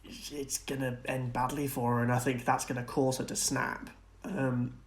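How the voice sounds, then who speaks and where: Man, medium-pitched voice, podcast co-host, casual screen discussion, earnest, speculative. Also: little pitch movement, moderate pace.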